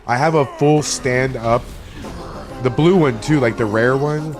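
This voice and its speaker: A man's voice: medium pitch, a little slow. Foul-mouthed rambling male streamer. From Miami, USA. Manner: profane, rambling, chatty. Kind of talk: casual live-stream chat while gaming. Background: game music.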